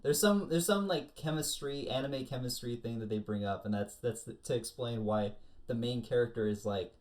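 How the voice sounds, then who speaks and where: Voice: medium pitch, normal speed, a little mellow. A co-host of an anime review show chatting casually in a jokey, slangy way.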